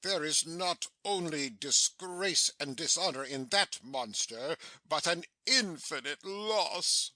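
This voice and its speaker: A pompous, blustering drunken butler acted by a man lords it over his companions. His voice is bright and forceful, his pace unhurried, in theatrical Shakespearean audiobook style. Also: fairly high pitch, animated, no room sound, heavily acted. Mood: indignant. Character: drunken, blustering butler who fancies himself king. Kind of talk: dramatic Shakespearean audiobook reading.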